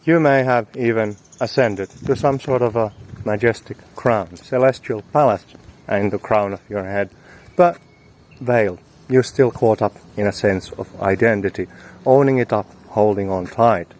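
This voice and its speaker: A man's voice: on the low side, unhurried, a little mellow. Man musing philosophically over outdoor noise. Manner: flowery, musing phrasing with slangy asides. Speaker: spiritual teacher hosting an audio series. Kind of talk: reflective philosophical monologue. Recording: noisy outdoor recording, wind and vehicle noise.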